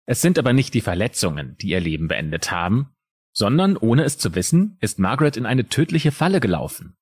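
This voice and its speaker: Deep husky man with a Palatinate lilt. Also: big pitch swings, darkish tone. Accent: Palatinate German. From Palatinate, Germany. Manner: dramatic storytelling with pauses. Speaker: podcast co-host. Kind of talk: true crime podcast narration.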